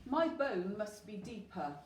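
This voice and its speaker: A woman's voice: medium pitch, very animated, measured pace. A woman voicing an eager, polite dog hunting for his bone in a rhyming children's storybook. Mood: disappointed, determined. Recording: roomy, distant mic with faint noise.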